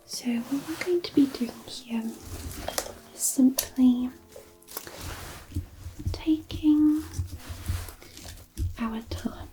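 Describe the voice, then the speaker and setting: A woman playing a gentle, kindly forest fairy in a soothing fantasy ASMR roleplay, murmuring softly and reassuringly. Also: high voice, extremely slow, long pauses, a little hiss or hum, rough recording. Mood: soothing, careful.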